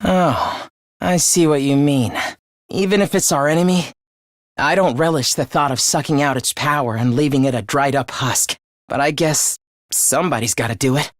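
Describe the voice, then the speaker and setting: A man playing an earnest, caring teenage student leader in an anime-style video game, with a youthful, expressive voice and polite delivery. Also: on the high side, moderate pace, dry recording, clean studio sound, lightly acted. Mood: gentle, subdued. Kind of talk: animated game voice lines, anime-style dub acting.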